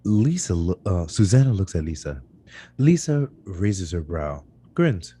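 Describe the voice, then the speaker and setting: A man narrating screenplay stage directions at a live table read, with a husky voice and occasional stumbles that he corrects. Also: low voice, sing-song, a little slow, dark tone. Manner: stumbles over words and corrects himself.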